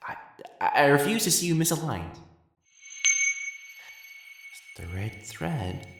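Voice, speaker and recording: Man playing an elegant, slow-spoken, flustered matchmaker. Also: on the high side, a bit bright, slightly rough, full character voice. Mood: conflicted, denying. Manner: formal, halting, stammering when flustered. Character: elegant, proper male matchmaker, flustered and secretly jealous. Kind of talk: dramatic scripted audio roleplay.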